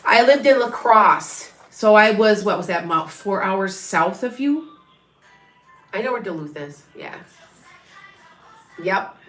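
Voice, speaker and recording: A woman's voice: medium pitch, animated, slow pace, long pauses, fairly bright tone, roomy sound, soft background music. A middle-aged woman from Wisconsin with an Upper Midwest accent, a psychic medium rambling through a live reading and chatting with her viewers. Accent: Upper Midwest, northwest of Chicago. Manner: rambling, chatty, many restarts and asides.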